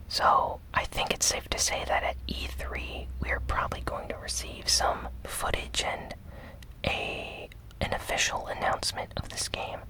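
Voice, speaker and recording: A host softly whispering a gaming news recap in a breathy, unhurried ASMR style. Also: poor audio. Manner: slow, soft, unhurried delivery.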